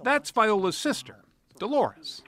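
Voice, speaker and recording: Male TV correspondent, bright tone and animated pitch, polished feature narration. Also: a little slow. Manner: polished, storytelling. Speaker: TV news correspondent. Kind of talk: scripted news narration.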